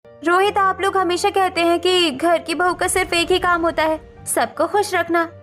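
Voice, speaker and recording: A woman's voice: very high voice, level tone, normal speed, a bit bright, faint music behind. A woman playing a fed-up, resolute young daughter-in-law in a cartoon story, speaking earnestly and assertively. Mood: hurt, assertive. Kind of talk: Hindi cartoon story dialogue.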